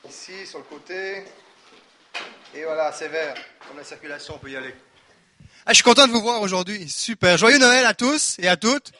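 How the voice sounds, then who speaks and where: A man from Quebec with a Québécois French accent, preaching a lively sermon in a church, full of rhetorical questions and restarts. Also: sits high, moderate pace, pauses often, a little hiss or hum.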